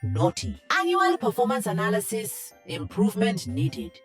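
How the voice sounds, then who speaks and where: A woman's voice: a little high, huge pitch swings, moderate pace, breathy edge, faint music behind, full character voice. A woman voicing a female robot in a video game, formally announcing results in a cheerful, officious way. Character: cheerful female robot giving performance reports.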